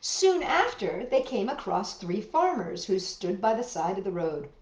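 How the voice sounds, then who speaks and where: A woman's voice: mid-range voice, sing-song, unhurried. A woman hosting a children's storytime, telling and explaining things simply and expressively to young listeners. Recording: roomy echo, slightly dull sound.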